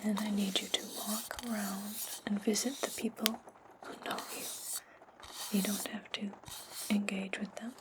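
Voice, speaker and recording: A woman playing a menacing, controlling witch in a whispered ASMR roleplay, commanding her servant in breathy, deliberate tones. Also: mid-range voice, level tone, heavy background noise, close to an everyday voice. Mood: calm, calculating.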